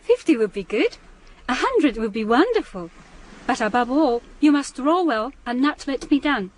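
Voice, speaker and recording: An earnest, formal young island diver. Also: female voice, sits high, very animated, moderate pace, rough recording. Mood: brisk, instructing. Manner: formal, careful, slightly stilted phrasing. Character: young Japanese island diving woman, earnest, practical, forthright. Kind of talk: radio drama acting.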